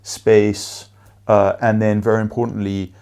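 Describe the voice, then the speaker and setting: A man whose first language is Afrikaans speaks in a low, gravelly voice that stays fairly flat. He answers interview questions as an investor, pausing on fillers and laying out his points one by one. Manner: measured, many fillers, lists points. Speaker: venture investor guest.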